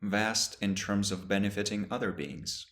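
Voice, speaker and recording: A man with a very deep, low voice leads a guided meditation. He speaks slowly and calmly, leaving long pauses between short phrases. His tone stays soft and steady throughout. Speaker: meditation teacher.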